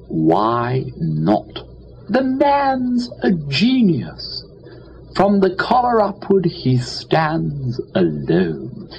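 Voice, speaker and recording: A man playing a foppish, cheerful, dim young English gentleman, telling a comic story in chatty old-fashioned upper-class slang. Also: fairly high pitch, gravelly, pitched above the performer's own voice, full character voice. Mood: chatty, airy. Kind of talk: dramatised first-person comic storytelling. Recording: dull lo-fi with faint noise.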